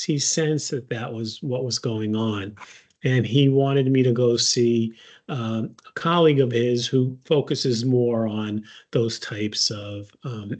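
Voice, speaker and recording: A man in his sixties tells his own patient story in a casual, unhurried way, with many pauses and fillers. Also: mid-range voice, darkish tone. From United States. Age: 60s. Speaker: patient advocate. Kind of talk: personal story, casual talk.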